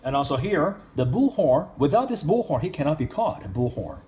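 A man's voice: on the high side, very animated, a little fast, warm tone, a little hiss or hum. A man reviewing an action figure in casual speech, with simple, non-native English phrasing. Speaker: toy reviewer.

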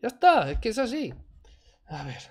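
A man's voice: very high voice, normal speed. A man, commenting sarcastically on a video clip his home desk. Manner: sarcastic, animated, mocking. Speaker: reaction streamer. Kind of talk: casual commentary over a clip. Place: home room, indoors. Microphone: desk mic, wearing headphones.

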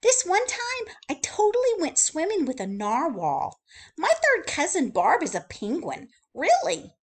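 A woman putting on a squeaky, gushing chatterbox bird. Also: unhurried, exaggerated voice. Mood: gushing, excited. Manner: excited, gushing, rattles off lists. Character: chatty little female bird who never stops talking.

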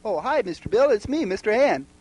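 High-voiced, falsely friendly puppet sidekick. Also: male voice, big pitch swings, fairly quick, a little mellow, a little hiss or hum. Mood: fake friendly. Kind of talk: homemade puppet comedy skit.

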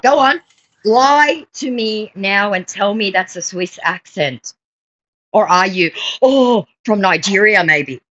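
A woman's voice: medium pitch, expressive, takes it slowly, a bit bright, highs cut off. Sarcastic woman scolding over a call. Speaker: scambaiter. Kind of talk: confrontational phone call. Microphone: phone on a video call.

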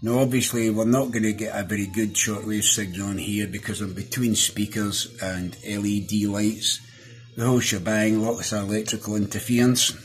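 A middle-aged man with a Scottish accent talks through a hands-on review in a casual, down-to-earth way. His voice sits on the low side. Soft music plays faintly behind him. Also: flat delivery, normal speed. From Scotland. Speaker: product reviewer. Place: small indoor room at a desk.

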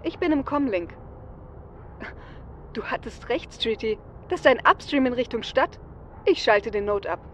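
A woman's voice: a little high, expressive, measured pace, pauses often. A woman playing a flippant female hacker on a heist team in an audio drama, speaking casually. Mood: focused, flippant. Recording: dull, noisy audio.

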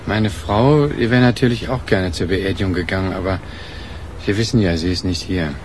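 Male actor as polite married man, low voice, consoling, halting, radio play, light background noise. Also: close to an everyday voice. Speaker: actor in a radio crime drama. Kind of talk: German radio crime play dialogue.

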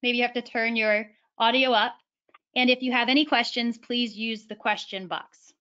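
A woman's voice: a little high, fairly even intonation, normal speed, bright, forceful tone, clean tone, lo-fi sound. A woman hosting and moderating a webinar, introducing the speakers in a friendly, scripted way.

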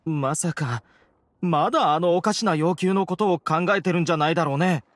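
A man's voice: on the high side, brisk, slight background noise, natural voice. An actor playing an exasperated but good-natured young male alchemy assistant in an anime game, earnest and protesting. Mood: wary, exasperated.

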